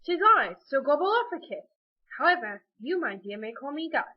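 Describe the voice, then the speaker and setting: A woman voicing a pompous, theatrical male turkey gentleman from London in a children's story, with grandiose, old-fashioned manners. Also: a little high, normal speed, lo-fi sound, close to an everyday voice. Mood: grand, cheeky. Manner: grandiose, melodramatic, old-fashioned polite phrasing.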